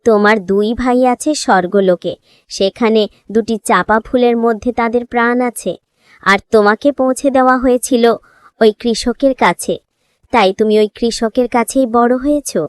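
A woman's voice: fairly high pitch, steady tone, measured pace, clean tone, no room sound, natural voice. A woman voicing a benevolent fairy queen in a cartoon, explaining things solemnly to a young girl. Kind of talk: cartoon voice acting.

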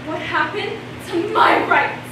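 A woman's voice: very high voice, slow. A woman acting an indignant woman in crisis in a stage play, projecting her monologue with emphatic, impassioned delivery. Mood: indignant disbelief. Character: indignant, anguished women in crisis over lost rights. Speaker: stage actor. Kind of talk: theatrical monologue in a one-act play. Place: indoor stage before a seated audience. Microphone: distant camera. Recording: echoey, noisy hall from far off.

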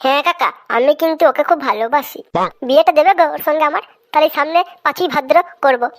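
A woman's voice: high voice, fast, lightly acted. A woman voicing a whiny, stubborn little village boy in a comic cartoon, childish and insistent. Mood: eager. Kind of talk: comic Bengali cartoon dubbing.

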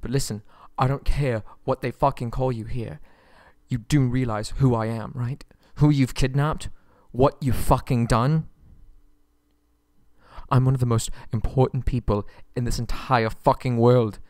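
A man's voice: mid-range voice, steady tone, a little slow, darkish tone, dry recording, close to an everyday voice. A man playing a haughty but frightened young kidnapped prince in an ASMR roleplay audio drama, stammering and pleading, close on the mic. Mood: outraged, cursing.

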